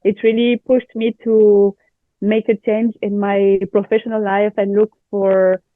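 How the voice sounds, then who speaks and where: A woman's voice: medium pitch, little pitch movement, a little slow, no echo, phone-quality sound. Interview guest: French woman, native French speaker, slight cold, dry but band-limited radio-like sound. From France. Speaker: guest expert, baby planner. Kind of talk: conversational interview.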